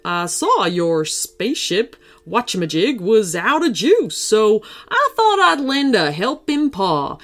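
A big, friendly storybook dog in a cowboy hat, acted out by a woman, talks in a folksy, helpful way. The delivery swings up and down in pitch, with music playing behind. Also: very animated, takes it slowly, hardly any pauses, a bit bright, heavily acted. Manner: folksy cowboy drawl phrasing.

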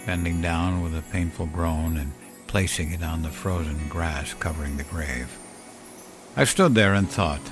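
A man narrating a scripted first-person story in a measured, solemn, reflective way, as on a fiction podcast. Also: low-pitched. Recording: music behind the voice. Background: clear music bed.

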